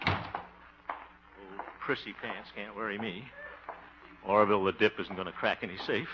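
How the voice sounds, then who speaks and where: An actor playing a blustery, trusting, soft-hearted small-town man in a 1950s American radio comedy, flustered and wisecracking, with an American accent. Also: on the low side, fairly even intonation, moderate pace, lightly acted. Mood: worried muttering. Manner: flustered, wisecracking, exclaiming with nervous chuckles. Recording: muffled, noisy 1950 radio broadcast.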